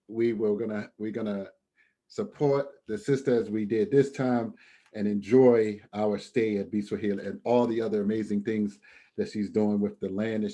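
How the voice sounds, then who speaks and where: A man's voice: on the low side, warm tone. A man hosting an event and introducing the next speaker, friendly, with fillers. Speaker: host.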